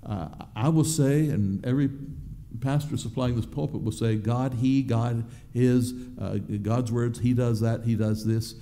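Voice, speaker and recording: An older man delivers a sermon from a pulpit. His mid-pitched voice is very warm, dark and relaxed. He explains deliberately, halting and repeating words as he goes. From Southern United States. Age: 60s. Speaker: pastor preaching. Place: church sanctuary, at the pulpit.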